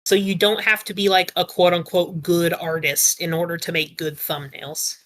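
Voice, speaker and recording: Female panelist, slightly low pitch, bright clear-cut tone, casual opinionated explainer. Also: level tone, normal speed. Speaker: podcast panelist.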